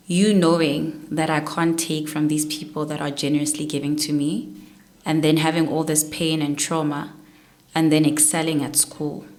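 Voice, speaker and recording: Woman, podcast host, low voice, thoughtful, probing. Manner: thoughtful, probing questions with soft "mm" backchannels. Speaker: interviewer host. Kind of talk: podcast interview.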